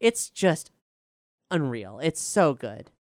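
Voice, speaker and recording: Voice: medium pitch, sing-song, slow, a little mellow, no echo, studio quality. A podcast showrunner explaining things in an emphatic, casually sweary way during a behind-the-scenes discussion.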